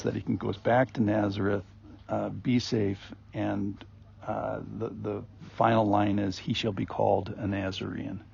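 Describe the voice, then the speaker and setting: A man giving an informal daily Bible reflection as a podcast host, rambling and conversational with many ums and restarts, in a gravelly, husky voice. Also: on the low side, darkish tone. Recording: dull lo-fi recording.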